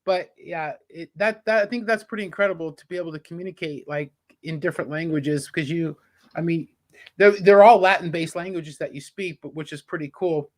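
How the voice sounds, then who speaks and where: A man's voice: a little high, a little fast. A man with a casual, chatty style, acting as an interviewer. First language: English.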